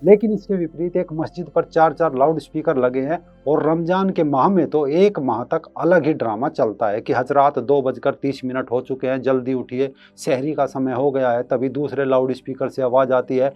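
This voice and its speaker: A man's voice: medium pitch, moderate pace, few pauses, dull audio, faint music behind. A man hosting an online commentary show, reading a scripted piece with emphatic, rhetorical delivery.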